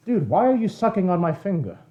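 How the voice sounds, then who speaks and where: A man's voice: high voice, big pitch swings, quick pace, highs cut off. A man playing a sassy, cheeky celestial dragon who co-hosts a livestream with improvised, playfully indignant comic banter. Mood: wry, cheeky. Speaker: livestream co-host.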